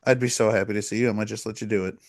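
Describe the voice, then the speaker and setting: A man's voice: lowish voice, steady tone, racing, darkish tone, slight background noise. A man co-hosting a gaming podcast in casual chat, joking crudely and laughing often. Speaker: podcast co-host.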